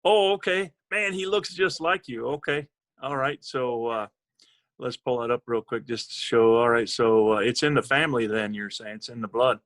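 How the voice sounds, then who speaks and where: A man's voice: mid-range voice, normal speed, fairly bright tone. A man hosting a podcast who asks friendly questions and stumbles over fillers and repeated words. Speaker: podcast host. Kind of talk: casual interview.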